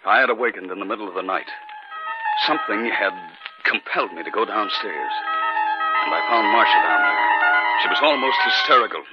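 An actor playing a steady husband caught in an eerie haunting, with deliberate, dramatic 1950s radio delivery. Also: male voice, on the low side, loud music behind the voice, natural voice. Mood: grave, uneasy. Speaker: actor narrating and playing the lead. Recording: dull, noisy 1950s radio recording.

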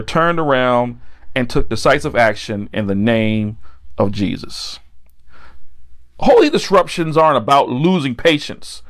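A middle-aged American man preaching a sermon, phrasing deliberately and repeating key lines for emphasis. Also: a little high. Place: indoor set with a decorated backdrop.

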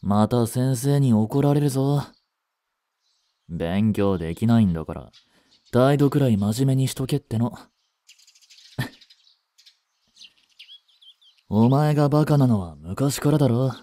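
A man playing a brusque teenage schoolboy, a gruffly caring childhood friend with blunt, casual speech, in a romantic voice drama. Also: medium pitch, lively intonation, takes it slowly, long pauses, dark tone. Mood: casual, teasing. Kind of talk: Japanese romantic situation voice drama.

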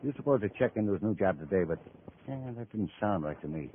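Gruff, friendly male hotel detective, American, very lively pitch, tinny old radio recording. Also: fast talker. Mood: sober, puzzled. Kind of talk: 1950s radio drama acting.

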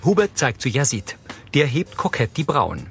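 A man's voice: medium pitch, sing-song, normal speed, gravelly. Male audio description narrator. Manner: neutral, factual. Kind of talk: scripted narration. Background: music.

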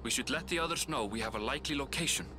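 An actor playing a thoughtful, learned traveling scout in a video game, explaining things in a measured way. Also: male voice, medium pitch, music in the background, lightly acted. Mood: pensive. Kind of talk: video game cutscene acting.

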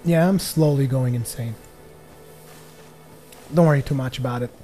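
A young man from Portugal, a native Portuguese speaker, giving jokey rambling let's play commentary on a headset as his voice grows tired and hoarse. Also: mid-range voice, normal speed, warm tone, faint music behind. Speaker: gaming commentator.

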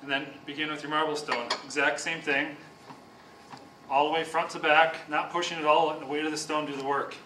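Bright young man teaching in an echoey room. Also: on the high side, a little fast, distant mic, slight background noise. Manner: calm step-by-step explaining, with occasional restarts. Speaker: speed skating sharpening instructor. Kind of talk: instructional demonstration. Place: large office room with whiteboard and windows.

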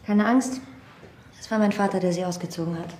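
A woman's voice: fairly low pitch, steady tone, fairly quick, darkish tone, slight background noise, close to an everyday voice. Woman playing an attentive daughter, roomy sound. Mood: attentive, reassuring. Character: attentive daughter of a Russian-speaking household. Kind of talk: naturalistic TV crime drama acting.

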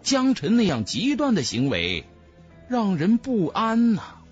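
A man's voice: high voice, wildly swooping pitch, a little slow, husky, dull audio, faint music behind. A man narrating a fantasy novel as a scripted audiobook, with emphatic, dramatic storytelling.

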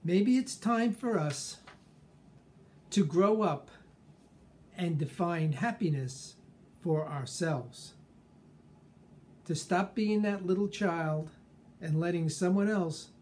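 Slow-talking older man with a mellow voice. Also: a little high, a little hiss or hum. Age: 60s or older. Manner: deliberate, measured phrasing with pauses. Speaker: personal growth speaker. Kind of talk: reflective scripted monologue. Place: small furnished room at home, indoors.

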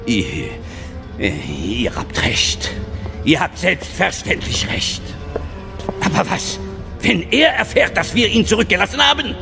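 A man's voice: sits high, huge pitch swings, measured pace, creaky, over music, heavily acted. An actor playing a cowardly, haughty male alien viceroy in an audio drama, agitated and irritable. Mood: anxious, imperious.

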